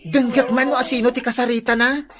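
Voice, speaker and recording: Actress doing a nosy annoyed ilocano daughter, high swoopy voice, radio drama, music under it. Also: female voice, little pitch movement, fast, fairly bright tone, lightly acted. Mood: suspicious, grumbling. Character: nosy, irritable daughter complaining to her mother.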